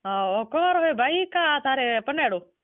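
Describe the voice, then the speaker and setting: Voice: high-pitched, animated, slow pace, no echo. Insistent Rajasthani questioner on a muffled phone. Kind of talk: informal phone conversation, questioning. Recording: muffled band-limited phone call recording.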